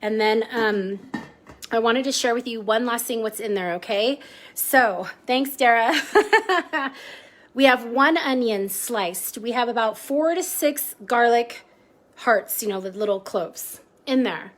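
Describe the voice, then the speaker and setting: A woman's voice: a little high, takes it slowly. Chatty woman hosting a home cooking stream. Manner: chatty, greets viewers by name, easily sidetracked. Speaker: host of a cooking livestream. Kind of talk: casual live cooking chat. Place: home kitchen.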